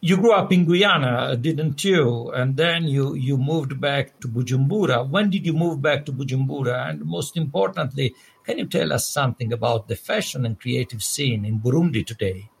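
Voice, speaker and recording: A man's voice: medium pitch, normal speed, creaky, breathy edge. A man speaking as an interviewer, conversational, repeating and rephrasing himself for emphasis.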